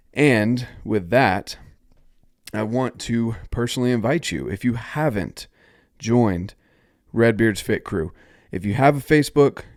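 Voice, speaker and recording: A young man hosts a solo podcast, stuffed up from a cold, his voice sitting low. He talks in an upbeat, encouraging way and keeps inviting listeners to join in. The sound is close and dry. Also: darkish tone, no room sound. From North Carolina. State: stuffed-up nose. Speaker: podcast host.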